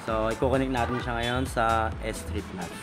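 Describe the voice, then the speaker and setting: A man's voice: medium pitch, normal speed, over music. A Filipino man talking casually and informally to viewers as a vlogger giving a hands-on product demo. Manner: informal, chatty, addressing viewers.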